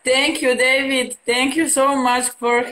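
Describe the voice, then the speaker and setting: A woman with a Serbian accent chats as an excited, flustered live stream guest. Her voice is high and bright, and it comes through with a lot of room echo. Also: a little slow. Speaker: guest caller. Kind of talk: casual chat on a live stream. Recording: echoey room with faint noise.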